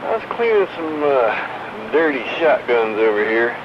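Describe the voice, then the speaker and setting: A man's voice: medium pitch, big pitch swings, a little slow. A man in casual, colloquial CB chat, heard through a noisy, muffled radio transmission. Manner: folksy, colloquial. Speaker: radio operator. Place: porch.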